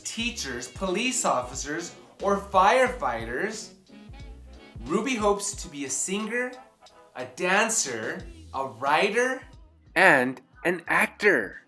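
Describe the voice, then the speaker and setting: A woman reading a children's picture book aloud in an expressive, theatrical way, with dramatic pauses and emphasis. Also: on the low side. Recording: roomy echo, music behind.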